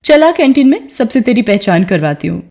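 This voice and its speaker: Woman voicing a haughty, mellow rich city girl. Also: a little high, sing-song, brisk, a little hiss or hum, voice pushed up. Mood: annoyed. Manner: scornful, bossy. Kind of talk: dramatised Hindi moral story.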